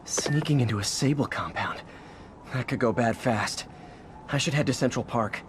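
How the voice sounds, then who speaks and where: A man's voice: medium pitch, normal speed, background noise, natural voice. An actor playing an earnest young superhero in his twenties, talking in a boyish, conversational way with anxious wisecracks in a video game. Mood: worried. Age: 20s.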